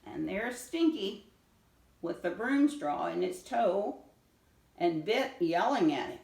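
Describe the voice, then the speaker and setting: Animated woman reading a storybook, roomy sound. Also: on the low side, vocal fry. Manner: pauses to point out pictures. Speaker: children's read-aloud reader. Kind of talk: expressive storybook narration. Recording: roomy echo, distant microphone.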